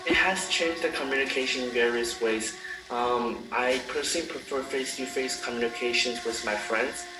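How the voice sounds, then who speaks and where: An eighteen-year-old man answers in careful, halting phrases. He sits far from the mic in an echoey room, with clear music underneath. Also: medium pitch. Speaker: communication student. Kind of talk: casual interview answers.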